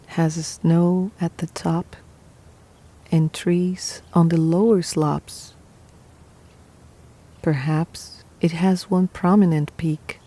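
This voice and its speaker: A woman leads a guided meditation in a low, mellow voice. She is calm and soothing, speaking very slowly in short phrases with pauses between them. Soft music plays behind her. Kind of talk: guided meditation, scripted narration.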